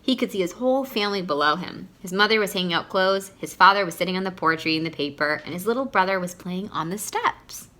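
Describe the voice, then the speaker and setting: Lady doing story time for kids, bright voice, animated, kinda teachery. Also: fairly low pitch, fast talker, hardly any pauses. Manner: animated, teacherly, addressing young listeners. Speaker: storyteller reading a picture book for children. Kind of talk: expressive children's read-aloud.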